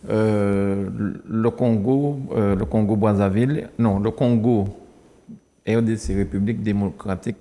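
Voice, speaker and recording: Mellow-voiced male interview host. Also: lowish voice, steady tone. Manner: slow, hesitant questioning. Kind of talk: conversational interview. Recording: long hall reverb.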